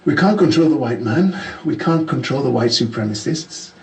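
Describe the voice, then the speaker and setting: Man, caller, earnest and measured, far from mic, echoey. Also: medium pitch, lively intonation, darkish tone. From Ghana. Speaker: caller sending a message to the show.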